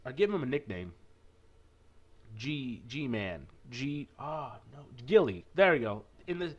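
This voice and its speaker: A man's voice: medium pitch, sing-song, slow pace, lo-fi sound. A man narrating a visual novel playthrough, with an informal, self-correcting manner and casual asides. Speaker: let's play narrator.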